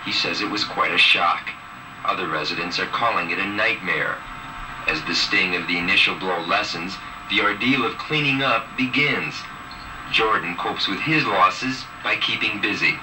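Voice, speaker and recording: A man with a fairly low voice delivers a scripted field report for TV news. The sound is distant, with clear noise from an old videotape. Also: moderate pace.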